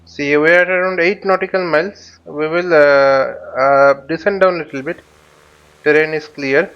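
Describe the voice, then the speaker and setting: A man giving an informal flight simulator tutorial, explaining haltingly as he thinks aloud. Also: fairly high pitch, steady tone, clear, resonant tone, dull audio. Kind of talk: informal tutorial commentary while flying a simulator.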